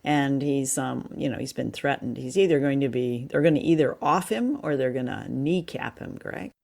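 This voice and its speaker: A woman's voice: extremely low pitch, moderate pace, creaky, darkish tone. A woman co-hosting a podcast, conversationally reviewing radio drama in slightly tentative phrasing. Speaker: podcast co-host.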